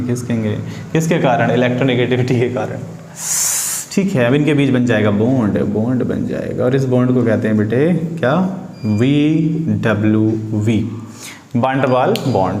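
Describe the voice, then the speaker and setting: A man's voice: mid-range voice, a little mellow. Man teaching in an echoey room. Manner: repeats phrases, asks rhetorical questions to students. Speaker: chemistry teacher. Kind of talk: informal classroom-style lesson for students. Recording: echoey room with faint background noise.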